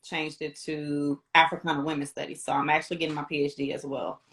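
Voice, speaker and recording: Middle-aged American woman, lower-pitched voice, informal interview guest, chatty first-person storyteller. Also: level tone, quick pace, a bit bright. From Houston, Texas, United States. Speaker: interview guest, radio promotions representative. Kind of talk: casual conversational storytelling.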